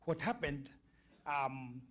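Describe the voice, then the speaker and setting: A middle-aged or older man from Kenya with a Kenyan accent, giving an informal, halting public address as a community speaker and checking in with his listeners. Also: on the high side, animated.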